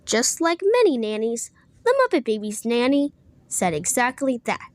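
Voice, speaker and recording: A woman reading a children's story aloud in an expressive storytelling style. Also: on the high side, moderate pace, dry recording, clean studio sound. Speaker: storybook reader.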